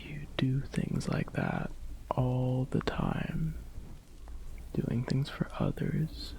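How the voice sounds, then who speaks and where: A man playing a tender, adoring boyfriend in an ASMR roleplay, speaking in soft, breathy, gentle whispers. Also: mid-range voice, wildly swooping pitch, very slow, exaggerated voice. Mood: warm, reassuring. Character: tender, adoring boyfriend comforting a sad partner.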